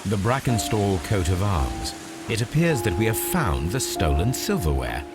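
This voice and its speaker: British gentleman sleuth: low, very varied intonation, precise, analytical, video game, music underneath. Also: sing-song. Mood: musing, analytical. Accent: British English. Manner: precise, measured, formal. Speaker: video game lead character. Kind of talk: scripted game dialogue and musing aloud.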